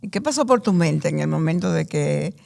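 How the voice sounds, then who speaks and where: A woman's voice: low-pitched, expressive, moderate pace, gravelly, darkish tone. A woman interviewing a guest, asking questions and giving short encouraging replies. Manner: brief encouraging backchannels and laughs.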